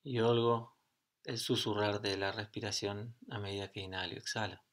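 A middle-aged man leads a guided meditation in a fairly low voice. He speaks calmly, in short phrases, and leaves long pauses between them. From Argentina. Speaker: yoga and meditation teacher.

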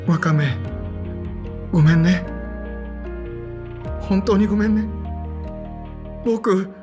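A man's voice: sits high, slow pace. An actor playing an earnest, emotional young man who dreams of acting, both narrating and speaking in an audio drama. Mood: apologetic. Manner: earnest, emotional, sighs and pleads. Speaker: narrator and lead character. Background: soft background music.

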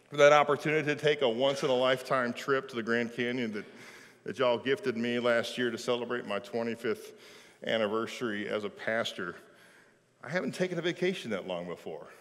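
A middle-aged pastor, preaching an animated, folksy sermon from a pulpit in a large church sanctuary. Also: male voice, mid-range voice. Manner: animated preaching, folksy with "y'all", gestures while speaking. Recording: long church reverb.

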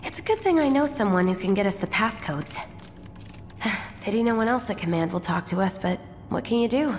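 Woman playing lively, teasing young female rebel. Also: mid-range voice, fairly quick, natural voice. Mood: breezy. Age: young adult. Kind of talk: video game cutscene acting. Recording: game music behind the voice.

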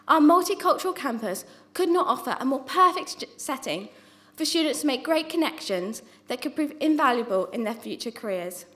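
A young woman gives a prepared student speech from her notes, speaking earnestly and stumbling now and then on a word before restarting. Her voice sits high. She speaks into a microphone on a stand in a university lecture theatre. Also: animated, fairly quick, few pauses, fairly bright tone. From not from New Zealand. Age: young adult. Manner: earnest, with small restarts on words. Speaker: student presenter.

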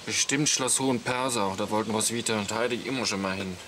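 An actor playing a middle-aged camper husband with a dry, smugly teasing way of talking in a German radio comedy. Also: male voice, on the low side, brisk, a bit bright, a little hiss or hum, lightly acted. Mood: hungover, dry.

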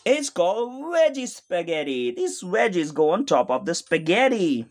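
A woman voicing a gentle, encouraging mother tiger in a storybook, coaxing and reassuring. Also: fairly low pitch, big pitch swings, measured pace, faint music behind.

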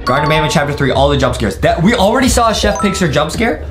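A young man hosting a gaming video with excitable, slangy, exaggerated reaction commentary. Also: fairly high pitch, fast. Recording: music behind the voice. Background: background music.

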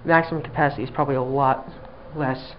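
Guy filming a slow elevator ride on a handheld cam, dry and fed up, mid-pitched voice, kinda dull tinny sound. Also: steady tone. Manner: dry exasperated running commentary. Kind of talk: casual handheld narration. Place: small elevator car, indoors. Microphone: handheld camera mic. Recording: dull lo-fi camera audio, faint hum.

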